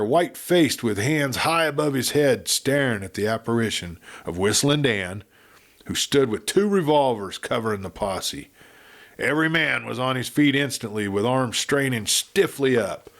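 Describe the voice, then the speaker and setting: A man narrating a Western audiobook with expressive, dramatic storytelling. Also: mid-range voice, normal speed.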